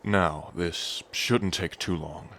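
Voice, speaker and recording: A man's voice: low voice, steady tone, slow, gravelly, fairly bright tone, reverberant, slight background noise, full character voice. A man voicing a brash young male dragon prince, a future king who is cocky, teasing and protective, in an ASMR roleplay. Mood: reassuring.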